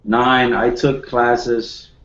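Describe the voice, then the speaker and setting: A man in his twenties from the Dominican Republic, a home studio producer chatting as a guest, modest and halting with many restarts. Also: mid-range voice, little pitch movement, a bit bright, echoey room, dull audio. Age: 20s. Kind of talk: casual video call chat.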